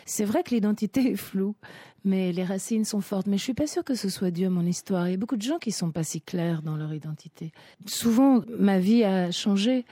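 A woman of about fifty from Italy, speaking French, answering interview questions in a soft, thoughtful way, pausing to find her words. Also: mid-range voice, animated, no echo. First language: Italian. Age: around 50. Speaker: singer and guest. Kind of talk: reflective interview talk.

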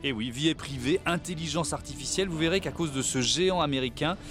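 A man co-hosting a French radio talk show, introducing guests and asking questions in a measured way with small hesitations. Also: fairly high pitch, music in the background. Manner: measured, interviewing with fillers. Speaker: radio co-host.

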